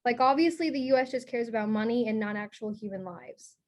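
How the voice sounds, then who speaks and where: A woman playing an outspoken, higher-voiced frustrated woman. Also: fairly quick, natural voice. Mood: frustrated, insistent. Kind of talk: documentary theater, acted as group discussion.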